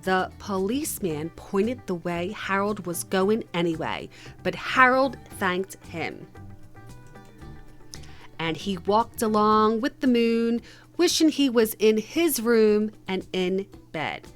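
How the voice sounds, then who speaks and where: A woman reading a children's picture book aloud in an expressive, measured storytelling manner. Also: mid-range voice, soft background music. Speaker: read-aloud host.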